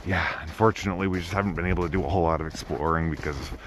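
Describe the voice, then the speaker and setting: A man's voice: low voice, steady tone, racing. A man in his thirties rambling casually to camera as an exploration vlogger, with informal slang. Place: outdoors in snow and inside a car. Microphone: handheld camera at arm's length. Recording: dull lo-fi camera audio, clear background noise.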